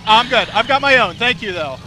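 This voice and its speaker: A man's voice: very high-pitched, noisy background. A man with a Southern American accent giving jokey, chatty live commentary on a street parade outdoors. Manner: jokey, chatty, laughs often.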